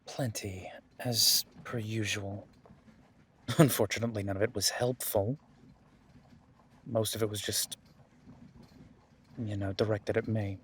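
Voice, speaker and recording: Man acting a husky, weary werewolf duke. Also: lowish voice, fairly even intonation, a little slow, pauses often. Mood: wry, tired. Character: weary werewolf duke, guarded, loyal noble commander. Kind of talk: fantasy audio drama acting.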